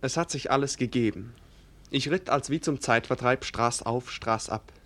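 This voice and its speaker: Casting: obedient young aristocrat, medium-pitched, earnest and formal, vintage radio drama. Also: male voice, moderate pace, fairly bright tone. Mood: earnest, open. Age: young adult. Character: dutiful, open-hearted young nobleman, obedient son. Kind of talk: 1940s German radio play acting.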